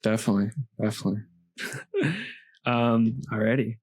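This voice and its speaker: A man hosting a podcast interview, relaxed and encouraging, poking fun at his own questions. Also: lowish voice, normal speed, breathy edge, dry recording. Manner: relaxed, encouraging, self-deprecating. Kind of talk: casual interview chat.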